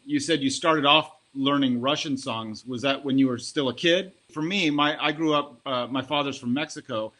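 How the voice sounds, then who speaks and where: A man interviewing musicians in a relaxed, curious, conversational way. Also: mid-range voice, moderate pace, hardly any pauses. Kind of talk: casual interview chat.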